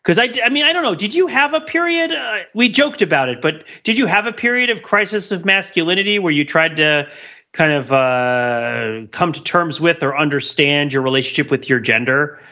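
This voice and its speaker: A middle-aged man chatting casually as a podcast co-host in an animated, conversational way, on slightly lo-fi audio. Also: on the high side, moderate pace. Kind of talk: casual chat.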